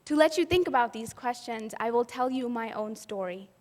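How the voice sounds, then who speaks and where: A woman's voice: on the high side, lively intonation, moderate pace, a little mellow. Earnest woman speaking in a reverberant hall. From Pakistan. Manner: earnest, rhetorical questions. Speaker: event speaker. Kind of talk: personal storytelling talk. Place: event hall.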